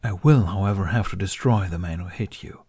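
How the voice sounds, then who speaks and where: Man voicing a blunt, proud dragon, husky and emphatic. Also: low-pitched, a little fast, a little mellow. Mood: wry boasting. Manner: grumbling, declarative, formal phrasing. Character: proud, blunt, protective male dragon, dryly sarcastic.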